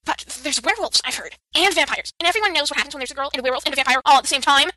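Excitable cheeky schoolgirl, sharp n bright, talks super fast, dramatic audiobook voice. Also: high voice, no echo. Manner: indignant, dramatic. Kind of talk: audiobook character acting.

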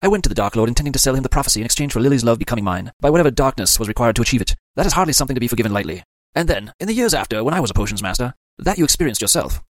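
A man's voice: mid-range voice, lively intonation, racing, few pauses, dry recording, close to an everyday voice. A man voicing a stern, weary middle-aged male potions master who speaks formally and with resignation. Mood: grave. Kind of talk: audiobook character voice.

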